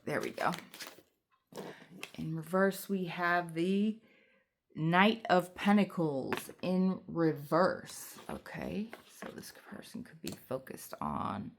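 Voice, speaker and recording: Low voiced lady doing a tarot reading, slow n halting, bit of background noise. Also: creaky. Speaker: tarot card reader. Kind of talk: casual spiritual card reading. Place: indoors at a table, away from home.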